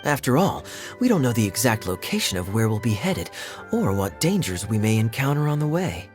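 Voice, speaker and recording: A suave, wry knight, voiced by a man speaks with easy confidence. His mid-pitched voice rises and falls very widely. Faint game music plays beneath. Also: big pitch swings, fairly quick, a little mellow, lightly acted. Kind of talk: video game voice acting.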